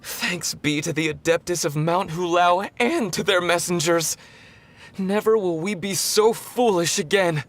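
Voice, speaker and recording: A man's voice: high-pitched, unhurried. Desperate, beseeching young man. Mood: grateful, reverent. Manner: beseeching, reverent, melodramatic. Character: desperate, humble, pleading poor young treasure hunter, male. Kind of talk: video game voice acting.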